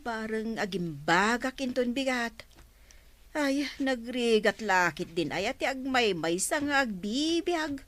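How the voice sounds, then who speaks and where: An actress with an Ilocano accent playing a stingy, combative village store owner in a radio comedy drama. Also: female voice, fairly high pitch, animated, measured pace. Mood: weary, self-pitying. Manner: combative, sharp-tongued. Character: stingy, quarrelsome village store owner woman, scolding neighbours.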